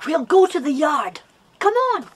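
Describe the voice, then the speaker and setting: High, animated friendly boy. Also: a little slow. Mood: curious. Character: friendly, curious English country boy, keen companion. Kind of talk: children's audio drama acting.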